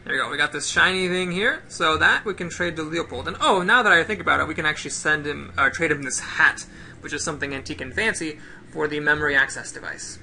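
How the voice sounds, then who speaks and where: A man with a high, very bright voice gives casual commentary on a game play-through. He explains things step by step as he goes, with faint noise in the background. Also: fast, vocal fry.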